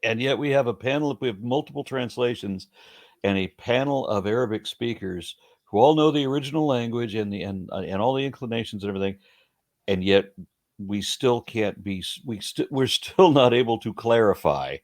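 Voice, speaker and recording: A man's voice: mid-range voice, normal speed. A man hosting a casual interview, thinking aloud as he talks and often repeating words mid-sentence. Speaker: host.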